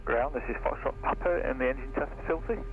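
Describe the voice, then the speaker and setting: A man's voice: medium pitch, fast talker. A man making procedural calls to air traffic control over the radio. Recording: muffled, noisy aviation radio.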